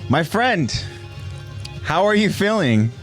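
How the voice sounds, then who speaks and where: Effusive man hosting, rambling warmly. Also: high-pitched, very animated, takes it slowly, soft background music. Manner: effusive, rambling with many fillers. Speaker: podcast host. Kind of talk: casual live podcast chat.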